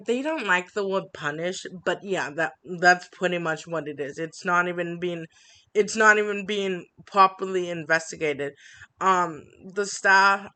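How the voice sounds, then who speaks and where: A woman vlogging an upset complaint, halting with many fillers and restarts, reading from written notes. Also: on the low side, pushed and bright. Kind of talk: personal complaint vlog.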